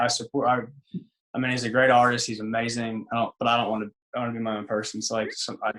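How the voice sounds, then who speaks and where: A man in his twenties with a raspy voice, a singer and former ballplayer chatting loosely as an interview guest, full of fillers and restarts. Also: mid-range voice, fairly bright tone, low-quality recording. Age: 20s. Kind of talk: casual interview chat.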